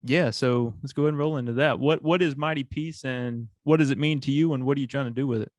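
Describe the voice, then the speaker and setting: A man's voice: medium pitch, quick pace, no room sound. A man hosting a coffee podcast, asking curious questions in a laid-back, slangy way. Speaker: podcast host. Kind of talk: casual interview.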